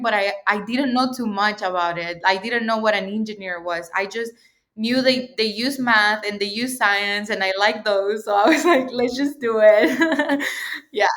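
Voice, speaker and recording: A woman's voice: medium pitch, a bit bright. Chatty young woman from El Salvador. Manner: chatty, many fillers, laughs often. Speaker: guest, PhD student. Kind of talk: casual interview chat.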